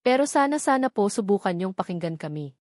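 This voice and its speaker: A woman's voice: mid-range voice, big pitch swings, a little fast, a little mellow, no echo, clean studio sound, lightly acted. A woman playing a young daughter in love, earnest and resolute as she stands her ground in an audio drama. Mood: calm, pleading. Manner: earnest, pleading, firm.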